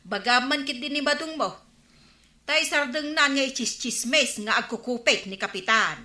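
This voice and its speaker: A woman's voice: high-pitched, normal speed, sharp tone. An actress with an Ilocano accent playing an anxious, nagging village woman in a radio comedy-drama. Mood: indignant, worried. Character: anxious, nagging woman worried about the captain's temper. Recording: roomy echo, at times distant.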